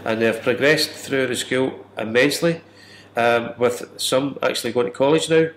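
A man's voice: medium pitch, moderate pace, a bit bright, a little hiss or hum. A man in his fifties giving an interview account as a care worker. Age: 50s. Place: small office, indoors. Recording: echoey room.

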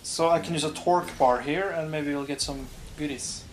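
A man's voice: a little high, normal speed, slight background noise. A young man from Norway with a Norwegian accent, tossing short teasing remarks while gaming with a friend. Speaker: let's play co-host. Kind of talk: casual gaming chat.

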